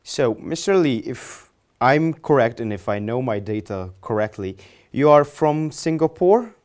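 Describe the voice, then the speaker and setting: A young man hosts an interview from a studio set, his voice mid-pitched and ordinary. He phrases long, courteous questions, often stumbling and correcting himself along the way. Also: lively intonation, measured pace, darkish tone. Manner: hesitant, polite, self-correcting questions. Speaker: interview host.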